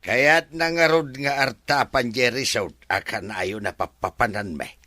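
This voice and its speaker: A man with an Ilocano accent playing a deferential, excitable resort workman in a radio drama, stammering and full of exclamations. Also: medium pitch, a little slow, dry recording. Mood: explaining.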